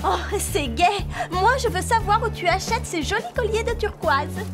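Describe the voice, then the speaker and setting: A woman voicing a fashion-minded, easily frightened girl in a French animated series, with a dramatic, drawling delivery. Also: extremely high pitch, moderate pace, loud music behind the voice. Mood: snooty, chatty.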